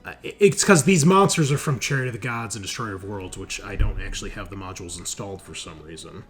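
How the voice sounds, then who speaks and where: A man's voice: lowish voice, animated, quick pace. A man running a tabletop game as game master, informal and sweary, thinking aloud as he goes. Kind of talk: casual tabletop game refereeing. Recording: voice over constant music. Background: music.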